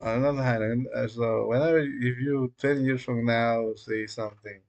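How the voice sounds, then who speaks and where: A man whose first language is Spanish, chatting casually on a live coding stream in a rambling, halting way, with many fillers and small chuckles. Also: medium pitch, steady tone, lo-fi sound. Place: dark room at home.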